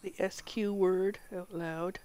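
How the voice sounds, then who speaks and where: A game streamer giving casual live commentary, laughing often and correcting themselves, with a voice that is going hoarse. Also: mid-range voice, steady tone, slow, dark tone, a little hiss or hum.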